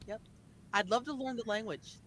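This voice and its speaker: High-voiced West Virginian man chatting. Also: moderate pace, fairly bright tone, rough recording. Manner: chatty, rambling with restarts. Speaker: panelist. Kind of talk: casual group chat.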